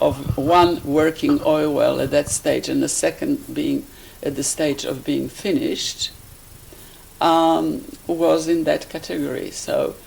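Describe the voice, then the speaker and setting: An elderly woman from Poland with a Polish accent, recalling her life in a halting, deliberate way as she is interviewed. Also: low voice, vocal fry, darkish tone, rough recording. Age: 80s or older. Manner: halting, deliberate, searching for words. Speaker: interviewee, life-story witness. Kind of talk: personal reminiscence in an interview.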